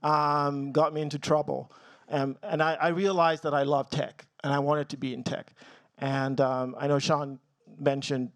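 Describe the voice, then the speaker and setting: Nigerian-born guy on a tech panel, earnest, lots of ums, slightly high voice, dry sound. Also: flat delivery, moderate pace, no echo. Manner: earnest, gets emotional, many ums. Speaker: tech team leader on a panel. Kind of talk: personal story on a panel.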